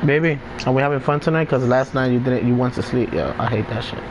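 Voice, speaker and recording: A man's voice: mid-range voice, quick pace. A man teasing his friends in casual chat while playing an online shooter, switching between English and Spanish. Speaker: gamer in party chat. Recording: noisy online party chat.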